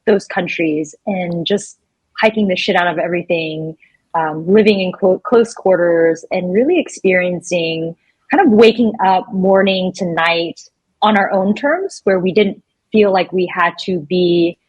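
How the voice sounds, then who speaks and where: A woman's voice: fairly low pitch, unhurried, slightly rough, dry recording, low-quality recording. A woman in her thirties chatting casually and informally as an interview guest. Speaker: podcast guest, full-time traveler.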